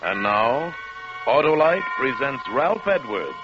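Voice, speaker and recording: A man's voice: medium pitch, sing-song. A man giving a portentous dramatic introduction as announcer of a 1950s radio show. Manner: slow, portentous. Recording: muffled old radio with loud music.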